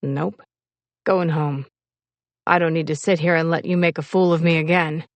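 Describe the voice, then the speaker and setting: A woman voicing a proud, frustrated young sailor in love, husky, terse and intense, in an audiobook story. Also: sits low, fairly even intonation, moderate pace, no room sound. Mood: curt. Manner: terse, intense, clipped words. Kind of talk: audiobook character dialogue.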